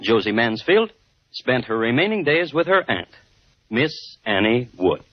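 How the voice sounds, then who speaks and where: Husky, deadpan vintage radio crime narrator. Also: male voice, mid-range voice, measured pace, dry recording, soft background music. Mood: dry, measured. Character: dry, measured male crime historian hosting grim tales. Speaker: host and narrator. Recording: dull vintage 1950s radio recording.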